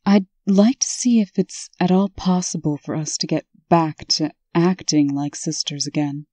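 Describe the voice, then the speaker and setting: A woman's voice: sits low, normal speed, dark tone, no room sound. A woman playing a strict, commanding, no-nonsense official and elder sister in a comic dub. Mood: measured.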